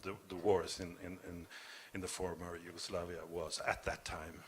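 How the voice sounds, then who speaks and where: Low-voiced Norwegian man, halting. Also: flat delivery, heavy background noise. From Norway. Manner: halting, many repeated words. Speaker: panel speaker. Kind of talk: panel discussion answer.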